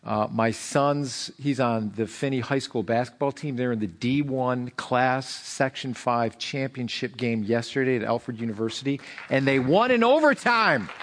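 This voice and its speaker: A middle-aged man, a hoarse pastor, preaching a sermon in an animated way. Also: moderate pace, hardly any pauses. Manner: animated preaching, rising in pitch for emphasis.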